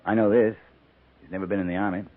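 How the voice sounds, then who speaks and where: An actor playing a plain-spoken, steady cavalry captain in a 1950s radio western, terse and dryly understated. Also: male voice, fairly low pitch, fast talker, lightly acted. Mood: dry, measured. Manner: terse, folksy, dry understatement. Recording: tinny 1950s radio broadcast recording.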